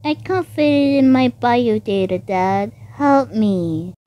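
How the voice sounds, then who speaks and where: A woman's voice: on the high side, slow, mellow, a little hiss or hum. A woman voicing an innocent, sweet little girl in an anime comic dub. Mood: pleading.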